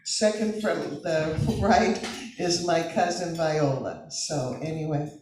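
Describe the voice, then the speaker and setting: An older woman from Sri Lanka talking casually and hesitantly, with many pauses and "um"s. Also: sits low, lively intonation, darkish tone, a little hiss or hum. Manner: hesitant, with frequent "um"s. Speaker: club member. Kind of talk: casual chat. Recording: very echoey, distant mic.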